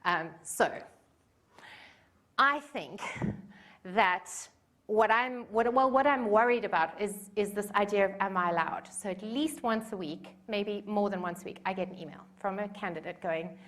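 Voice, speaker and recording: A woman's voice: medium pitch, moderate pace, fairly bright tone. Woman, 40s, South African accent, chatty and self-deprecating conference speaker, mid-range voice. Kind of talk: conference talk. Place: conference room.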